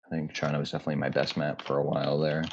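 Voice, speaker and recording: A man with a deep voice giving casual, laid-back live commentary on a game match, thinking aloud with fillers. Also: little pitch movement, rapid-fire, muffled recording. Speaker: tournament commentator. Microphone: home streaming mic, lower quality.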